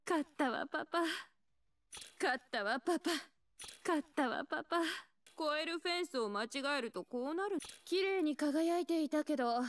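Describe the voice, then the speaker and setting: A sweet young female engineer from a video game. Her voice is high and girlish, with a gentle, earnest delivery. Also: expressive, moderate pace. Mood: proud, tender.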